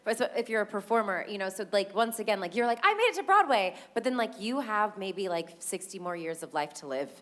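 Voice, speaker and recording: A woman's voice: mid-range voice, sing-song, fairly quick, hardly any pauses. A woman in the cast speaking on a panel, earnest and reflective, with some hesitations. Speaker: Broadway cast member. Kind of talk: panel talkback.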